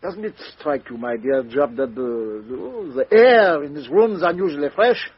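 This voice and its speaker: An actor playing a self-assured, fussy, courteous male detective with a French accent and precise, halting delivery in a radio mystery drama. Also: on the high side, lively intonation, husky. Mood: curious, probing. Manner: precise, smug, hesitant pauses and repetitions. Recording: dull lo-fi radio drama recording.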